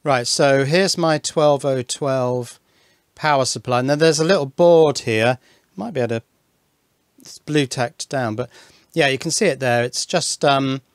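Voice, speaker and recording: A man's voice: mid-range voice, unhurried, dry recording, clean studio sound. A man giving informal, hands-on electronics narration, thinking aloud and explaining step by step. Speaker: electronics hobbyist and presenter.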